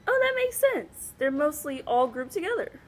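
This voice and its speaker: A high, lively voice from a woman acting a curious schoolchild in a puppet skit. The student is inquisitive and eager, with a slightly cheeky edge and animated, questioning intonation. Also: normal speed. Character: curious, interrupting child student in a puppet show. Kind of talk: educational puppet show skit.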